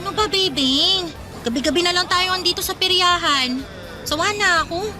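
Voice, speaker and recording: A woman's voice: very high voice, moderate pace. An actress playing a bored young woman who grumbles and complains, in radio drama style. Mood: weary. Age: young adult. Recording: music and crowd noise behind.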